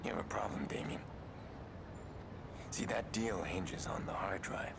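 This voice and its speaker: A man's voice: low voice, unhurried, in a whisper. An actor playing a grim, vengeful vigilante hacker in his thirties, terse and menacing, in a video game. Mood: threatening. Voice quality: gravelly. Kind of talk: cinematic video game acting. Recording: loud music behind the voice.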